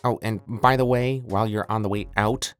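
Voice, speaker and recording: A man's voice: on the low side, fairly even intonation, moderate pace, smooth, natural voice. A man playing a self-pitying, petulant evil mad scientist in a comedic audio skit, stammering and melodramatic. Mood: deflated, bitter. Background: creepy music.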